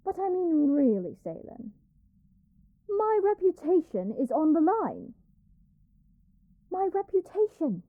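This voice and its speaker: A woman's voice: extremely high pitch, very animated, takes it slowly, long pauses, no room sound, phone-quality sound, full character voice. A woman playing an arrogant young princess in a dramatic audio roleplay, haughty, petulant, theatrical and given to complaint. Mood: indignant, haughty.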